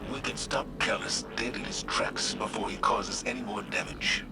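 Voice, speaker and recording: A man playing a stern, weary military commander in a video game, with a gravelly voice and a resolute, weighty manner. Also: on the low side, steady tone, normal speed. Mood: resolute, troubled. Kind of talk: scripted video game voice acting. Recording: loud ambient noise behind.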